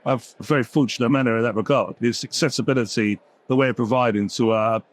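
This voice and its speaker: A man on a business panel giving measured explanations. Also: mid-range voice, steady tone. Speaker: company executive. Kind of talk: panel conversation.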